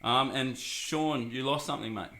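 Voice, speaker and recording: A man's voice: mid-range voice, moderate pace, bright, forceful tone, a little hiss or hum. A man hosts a casual podcast, joking and rambling with lots of fillers. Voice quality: husky. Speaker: podcast host. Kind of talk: casual chat.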